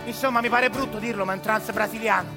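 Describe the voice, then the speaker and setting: Brief: male narrator with a Roman accent, quiet and intimate, with a fairly high voice. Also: fairly even intonation, quick pace, gravelly, music in the background. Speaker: actor narrating a monologue. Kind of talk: theatrical radio monologue.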